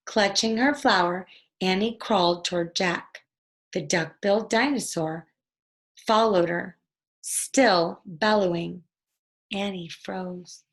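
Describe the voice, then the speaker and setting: A woman reading a children's story aloud in a deliberate, expressive storytelling manner. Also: medium pitch. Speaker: storybook reader.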